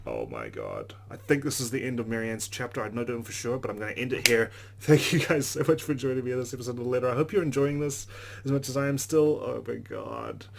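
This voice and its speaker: A man's voice: medium pitch, expressive, quick pace, gravelly, slight background noise. A man hosting a let's play, reading visual novel narration dramatically and reacting with exclamations.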